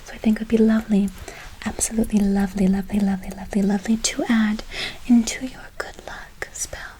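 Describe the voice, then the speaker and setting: A woman's voice: medium pitch, level tone, quick pace, dark tone, slight background noise, low-quality recording. A woman whispering an ASMR roleplay as a soothing witch, lingering on repeated words and endearments. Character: soothing witch casting a good luck spell. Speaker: ASMR performer.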